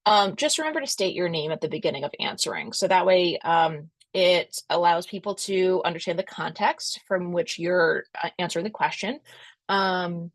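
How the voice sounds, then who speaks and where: A woman's voice: fairly low pitch, moderate pace, no echo. A young adult woman hosting a video-call webinar and giving conversational advice in loose asides. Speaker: webinar host from a museum association. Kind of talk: casual instructional talk.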